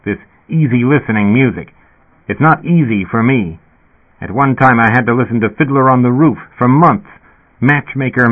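A man's voice: medium pitch, phone-quality sound, lightly acted. A dazed, deluded fugitive, played by a male narrator in an audiobook. He speaks earnestly and plaintively, stating strange things as plain fact. The sound is thin, as if from a radio. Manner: earnest, plaintive, matter-of-factly irrational. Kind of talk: audiobook character voice.

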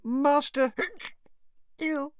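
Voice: drawn-out words, no room sound, clean studio sound. A storybook narrator voicing a drunk, weepy female house elf who hiccups and slurs. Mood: tearful. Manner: hiccuping, squeaky, slurred.

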